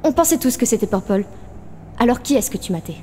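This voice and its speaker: A woman voicing a defensive astronaut crewmate who argues and questions insistently in an animated fan dub. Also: fairly high pitch, quick pace, faint music behind, lightly acted. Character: defensive, argumentative female astronaut crewmate, reasoning aloud.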